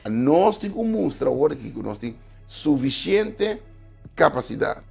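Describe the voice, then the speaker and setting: A man's voice: fairly high pitch, very animated, moderate pace, gravelly, darkish tone, husky, soft background music. A man appearing as a writer and guest on a talk show, arguing his points emphatically. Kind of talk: studio talk show discussion.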